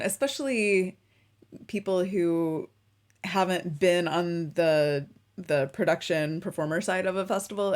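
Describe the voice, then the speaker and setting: A woman hosting a casual interview, relaxed and agreeable, talking in a loose, rambling way. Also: medium pitch. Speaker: interview host.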